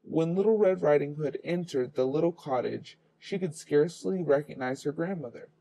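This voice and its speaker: A man's voice: on the high side, normal speed, vocal fry, dark tone, low-quality recording. A man reading a children's fairy tale aloud as the storyteller.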